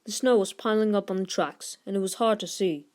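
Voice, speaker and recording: A woman with a mid-pitched voice narrates a children's storybook. She reads the scripted text expressively, as one would to young listeners. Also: brisk. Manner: expressive, lifts voice for character dialogue. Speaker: storybook reader.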